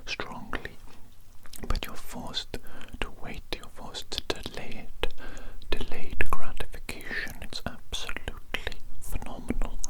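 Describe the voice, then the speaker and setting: A man voicing an evil, polite cannibal doctor in a breathy whispered ASMR roleplay, softly repeating his phrases as he savors them. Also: close to an everyday voice, poor audio. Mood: gloating, hungry anticipation.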